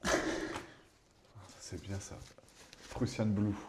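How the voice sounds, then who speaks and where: A man's voice: fairly low pitch, slow, very noisy. A man in his thirties co-hosting a podcast, chatting casually and telling jokey stories while painting. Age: 30s. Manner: lively, jokey, animated storytelling. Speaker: podcast co-host.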